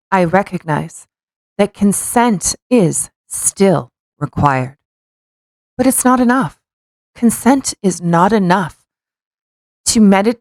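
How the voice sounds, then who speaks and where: A woman's voice: mid-range voice, no room sound. A woman co-hosting a casual podcast. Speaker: podcast co-host.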